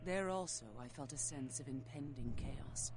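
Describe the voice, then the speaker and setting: A woman playing a secretive ancient vampire scholar in a video game, speaking in a solemn, formal, measured way. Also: rumbling low. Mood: ominous warning. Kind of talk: video game dialogue acting.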